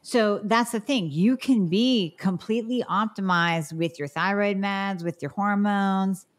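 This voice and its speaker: A woman's voice: medium pitch, a little slow. A woman hosting a health podcast, affirming her guest and explaining things at length. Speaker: podcast host. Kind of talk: casual health podcast talk.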